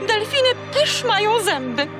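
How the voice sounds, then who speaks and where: Panicky, fussy seagull, extremely high and whiny, drawn-out delivery, children's audio play. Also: female voice, very animated, music in the background, full character voice. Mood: frightened, fussy. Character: jumpy feathered seagull, fussy and easily frightened. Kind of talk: children's audio drama acting.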